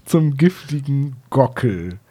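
A man's voice: medium pitch, lively intonation, takes it slowly, mellow, slightly rough. A man co-hosting a casual discussion of books and audio dramas in a chatty, explanatory way. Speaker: podcast co-host.

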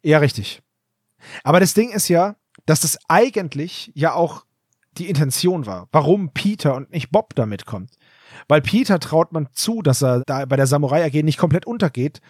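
A man's voice: on the high side, animated, moderate pace, a little mellow, breathy edge, no room sound, studio quality. A man co-hosting a podcast, retelling a story in a loose, rambling, chatty way. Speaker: podcast co-host. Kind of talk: casual episode recap.

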